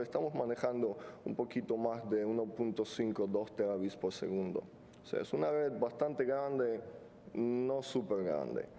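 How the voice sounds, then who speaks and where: A man in his thirties who is not a native speaker, giving a technical conference talk with many fillers and joking asides. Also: mellow. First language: not Spanish. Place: large conference hall stage. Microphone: podium mic. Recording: hall reverb, faint rumble.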